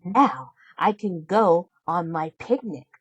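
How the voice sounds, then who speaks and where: A woman voicing a sweet, cheerful, dutiful young heroine in a storybook, gentle and wishful in manner. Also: fairly low pitch, expressive, slow, slightly rough, dry recording, highs cut off, heavily acted. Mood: relieved hopeful. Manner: gentle, bright-hearted, wishful.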